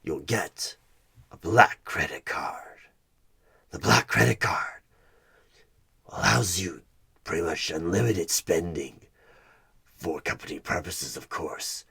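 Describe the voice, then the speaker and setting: A man playing a sinister devilish tempter posing as a job interviewer in a horror ASMR roleplay, with a gravelly, breathy voice that often drops into a whisper and a menacing, persuasive manner. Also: deep voice, sharp tone, full character voice. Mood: seductive, grandiose. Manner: slow, menacing, persuasive, drawn-out pauses.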